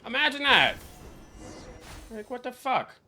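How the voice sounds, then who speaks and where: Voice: medium pitch, sing-song, unhurried, bright, forceful tone. A streamer giving casual reaction commentary on a game, blunt, mocking and full of swearing. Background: background game music.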